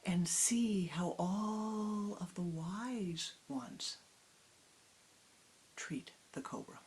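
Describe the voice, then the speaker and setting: A woman voicing a wise, gentle old grandmother who speaks in a soft whisper, in a told story. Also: fairly low pitch, very slow, slight background noise. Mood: solemn.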